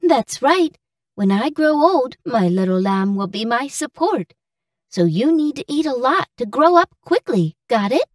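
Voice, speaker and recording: A loving, grieving village mother from a cartoon fairy tale, played by a woman. She is tender and pleading, with a fairly high voice that swings expressively. The sound is dry. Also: sing-song, measured pace, no room sound, distant mic. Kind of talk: English-language cartoon fairy-tale acting.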